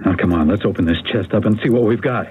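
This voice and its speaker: Actor as an eager husband, tinny old radio. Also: male voice, fairly low pitch, quick pace, heavy background noise, lightly acted. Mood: pleased, cautious. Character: eager, confident husband turned amateur treasure hunter. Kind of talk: 1970s radio mystery acting.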